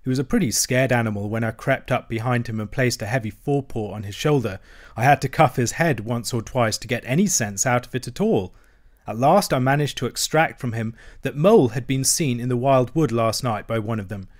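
A man's voice: mid-range voice, fairly quick, natural voice. A man as a hearty, adventurous storybook otter. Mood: cheerful, animated. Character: cheerful, hearty, adventurous male otter telling his tale.

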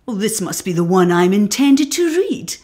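A woman's voice: mid-range voice, very animated, mellow, natural voice. A woman playing a proud, strong-minded, aristocratic middle-aged widow with an imperious manner in a radio play. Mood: musing. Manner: imperious, declamatory, deliberate. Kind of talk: radio drama acting.

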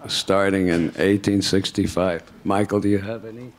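An older man on a discussion panel, speaking in a halting, musing way. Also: deep voice, steady tone. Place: lecture hall, at a panel table.

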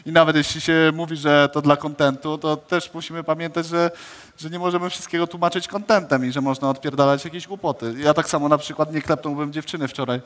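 A young man with a Polish accent on a headset mic, answering questions in measured, explanatory sentences. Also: a little high, steady tone, a bit bright, long reverb tail. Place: indoor studio stage.

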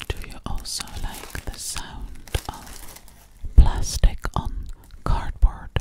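A young woman whispering close to the microphone in an ASMR style, playing an alien girl and lingering repetitively on single words. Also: slow. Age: young adult. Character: alien girl hiding in a stranger's house. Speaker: ASMR performer. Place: small bedroom, indoors.

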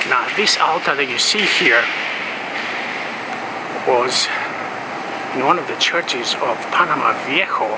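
A man guiding viewers through a church, explaining its history as he walks, in a relaxed way with many pauses. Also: mid-range voice, very noisy. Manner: relaxed, explanatory, pausing often. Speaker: travel vlogger. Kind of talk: walking tour narration. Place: inside a large church.

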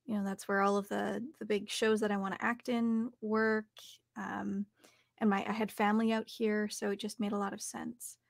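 A woman's voice: medium pitch, flat delivery, normal speed, gravelly, dry recording, clean studio sound. A young adult woman with a Canadian accent, chatting casually as an interview guest. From Calgary, Canada. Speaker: interview guest, actor and voice artist. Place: small home studio, video call.

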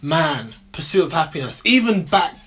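A man's voice: a little high, sing-song, moderate pace, fairly bright tone, slightly rough. A man in his twenties co-hosting a casual film review in a small room, easygoing and enthusiastic. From Nigeria. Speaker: co-host of a movie review channel.

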